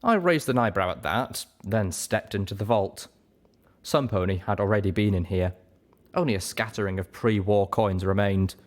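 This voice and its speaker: A man reading a story aloud as expressive, scripted first-person narration. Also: fairly low pitch, normal speed. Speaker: audiobook reader.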